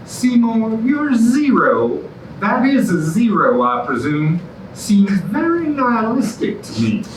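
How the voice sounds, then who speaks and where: A self-promoting celebrity doctor acted by a man in a stage comedy, pronouncing verdicts theatrically. The delivery is slow and very varied in pitch, heard in a noisy, roomy space. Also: very animated, heavily acted. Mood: skeptical. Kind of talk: staged reading of a musical comedy. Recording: roomy, distant, noisy live stage recording.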